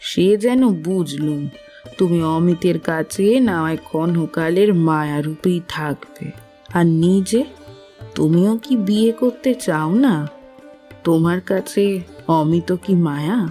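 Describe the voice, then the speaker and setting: A woman playing a caring, plain-spoken older motherly matron in a literary audio drama, with a gentle, concerned, homely manner. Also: on the low side, measured pace, faint music behind, close to an everyday voice. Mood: probing.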